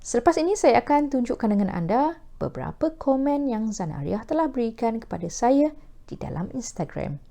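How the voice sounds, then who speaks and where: A woman with a Malaysian accent hosting a talk video, speaking formally with measured, newsreader-like diction. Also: fairly high pitch, very animated, gravelly, dark tone. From Perak, Malaysia. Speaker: host of a talk video series. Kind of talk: formal scripted presentation to camera.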